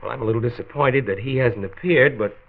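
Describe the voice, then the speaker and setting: An actor playing a reassuring, earnest county doctor with a measured manner, in a mid-century radio drama. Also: male voice, mid-range voice, lightly acted. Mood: earnest, appealing. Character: reassuring, civic-minded male county doctor, a measured persuader. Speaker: health commissioner. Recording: tinny phone-like old radio recording.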